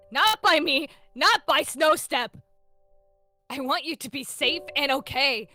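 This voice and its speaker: A woman's voice: high-pitched, sharp tone, voice pushed up, full character voice. Girl voicing a young boy cat apprentice, earnest n hesitant, worried type, roleplay story w music under it. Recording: music behind the voice.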